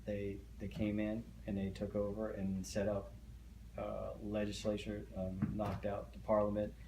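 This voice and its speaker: A man's voice: lowish voice, monotone, measured pace, creaky. A middle-aged man whose first language is Hungarian, recalling family history in an oral history interview, with many hesitant fillers and restarts. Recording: echoey room, faint noise.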